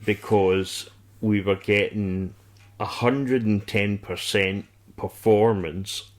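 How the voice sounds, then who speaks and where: Blokey review podcast guy, lowish pitch, unhurried, halting and blunt. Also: fairly even intonation. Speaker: podcast co-host. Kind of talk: casual review chat.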